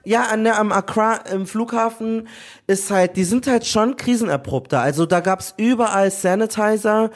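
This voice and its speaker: A man from Nigeria, a guest telling lively stories in casual chat, laughing often as he talks. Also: sits high, normal speed.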